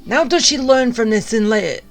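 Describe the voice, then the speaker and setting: A woman's voice: a little high, expressive, fairly quick, soft background music. A woman giving casual gameplay commentary with wry, blunt asides. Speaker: video game streamer.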